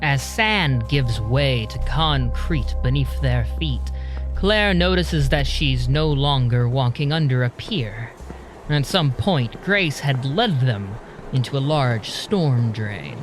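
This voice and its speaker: A man narrates an audio drama at a slow, measured pace. His pitch is mid-range and his delivery is that of a dramatic storyteller, with soft music behind him. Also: animated.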